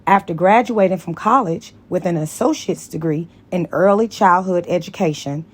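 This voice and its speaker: A woman's voice: lowish voice, normal speed. A woman reading a story aloud as scripted audiobook narration. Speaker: audiobook reader.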